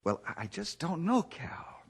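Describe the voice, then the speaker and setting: Husky male ranger, fatherly, thoughtful, lively pitch swings, folksy radio drama. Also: a little slow, exaggerated voice. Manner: folksy, reflective, reassuring. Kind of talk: old-time radio drama acting.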